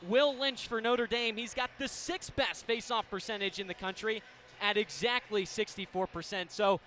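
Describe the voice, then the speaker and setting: An American man doing live radio play-by-play of a game in clipped descriptive phrases, ready to burst into excited calls. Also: sits high, normal speed. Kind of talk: live sports play-by-play commentary. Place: indoor stadium broadcast position. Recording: live radio with steady venue noise.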